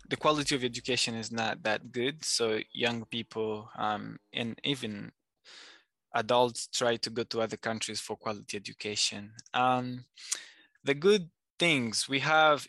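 A man speaks with a bright, forward tone in a dry, close recording. He answers at an easy, thoughtful pace, often pausing with soft ums as he gathers his words. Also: mid-range voice, dry recording. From Democratic Republic of Congo. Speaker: guest. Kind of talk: casual interview answers.

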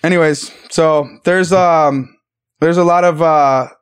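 A man hosting a podcast, talking in a relaxed, rambling, easygoing way and swearing casually. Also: a little high, takes it slowly, smooth. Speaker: podcast host. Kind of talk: casual chat.

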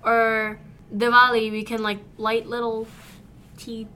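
Bright chatty teenage girl co-host. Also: fairly high pitch, completely flat delivery, slow pace, slight background noise. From India. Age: teens. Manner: chatty, filler-heavy. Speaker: student co-host.

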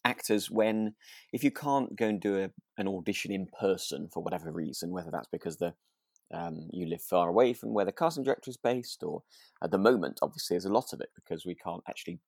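A man's voice: low-pitched, fast, a little mellow, no echo, studio quality. A British man co-hosting a casual podcast about a radio drama, speaking hesitantly with chuckles as he talks. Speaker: podcast co-host and actor.

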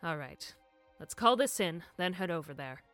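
A woman playing a confident police detective in an audio drama, brisk and commanding. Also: on the low side, lively intonation, fairly bright tone, dry recording, faint music behind. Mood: businesslike.

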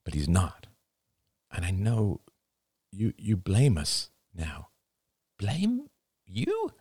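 Man acting a tearful, halting elderly father. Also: low-pitched, wildly swooping pitch, darkish tone, husky, dry recording, studio quality, pitched above the performer's own voice, full character voice. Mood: tearful, pleading. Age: older. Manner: halting, sighing, breaking into sad laughter. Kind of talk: dramatic audiobook dialogue.

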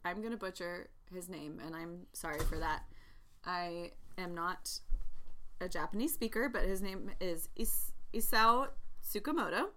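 A woman's voice: on the low side, measured pace, sharp tone. A young woman co-hosting a casual podcast chat, rambling with lots of ums and likes. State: pregnant. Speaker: podcast co-host.

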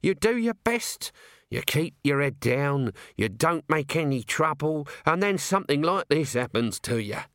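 A man's voice: on the high side, very animated, measured pace, fairly bright tone, no echo, studio quality, pitched above the performer's own voice. A man voicing a shabby, self-pitying corporal of the watch in an audiobook, moaning glumly. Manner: moaning, glum, plaintive. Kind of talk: audiobook character acting.

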